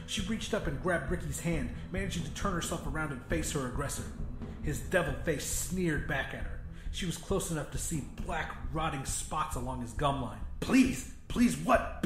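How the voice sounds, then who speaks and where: A man's voice: fairly high pitch, roomy sound. A man giving a dramatic, scripted narration of a horror story as an audiobook narrator.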